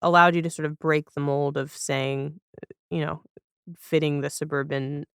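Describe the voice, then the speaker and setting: A woman's voice: deep voice, level tone, normal speed, a bit bright, clear, resonant tone, no room sound, studio quality. A woman hosting a podcast in a casual conversational style, thoughtful and wordy as she rephrases ideas. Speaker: podcast host.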